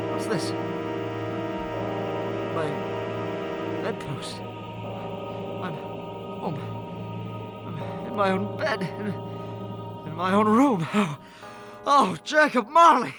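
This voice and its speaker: A man's voice: high voice, expressive, drawn-out words, pauses often, over loud music, full character voice. A man playing a repentant old miser in a radio play, theatrical and stammering with emotion. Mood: dazed, relieved. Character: repentant old male miser, desperate then giddy.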